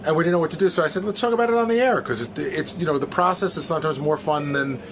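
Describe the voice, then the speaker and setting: A man's voice: a little high, racing. A man on a radio show's staff giving casual explanations in an interview, doubling back on words. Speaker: radio show staff member being interviewed. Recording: faint background noise.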